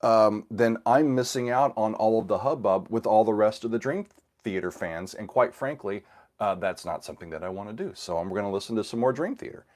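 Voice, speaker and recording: A middle-aged man with a drawl talks to his viewers in a loose, rambling livestream style. His voice sits a bit low and has gone scratchy from a cold. He circles back on his words with plenty of ums and uhs. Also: fairly quick. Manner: relaxed, rambling, frequent fillers and restarts. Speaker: host of a music reaction channel. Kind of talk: casual livestream chat with viewers.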